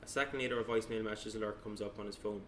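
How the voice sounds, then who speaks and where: Fast, flat-toned male script narrator. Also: fairly low pitch, a little hiss or hum. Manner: plain reading with small stumbles. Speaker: narrator reading stage directions. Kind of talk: scripted narration at a table read.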